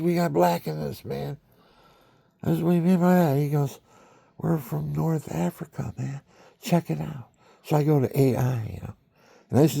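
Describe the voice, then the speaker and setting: A man's voice: medium pitch, creaky, mellow, slightly rough. An older man telling personal stories in a rambling monologue, talking straight to his viewers and acting out what others said. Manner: chatty, talks to the viewers, acts out quoted voices. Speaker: storyteller.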